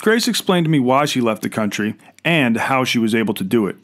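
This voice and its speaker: A man's voice: mid-range voice, very animated, brisk. A man narrating his vlog in a scripted voice-over, wry and sarcastic. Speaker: vlogger, host. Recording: voice-over with loud music behind.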